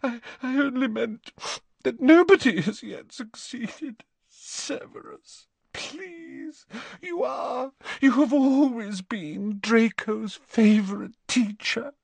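A man's voice: slow, gravelly, breathy edge, dry recording, exaggerated voice. A man voicing a desperate, tearful aristocratic mother begging for her son in an audiobook reading. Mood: pleading. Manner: tearful, begging, high strained pleading.

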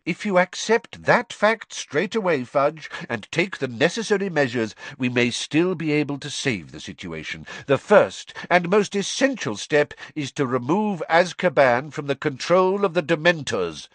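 A man voicing a calm, commanding old wizard headmaster who speaks coolly and with measured authority. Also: fairly high pitch, dry recording, pitched above the performer's own voice. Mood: grave. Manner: cool, measured.

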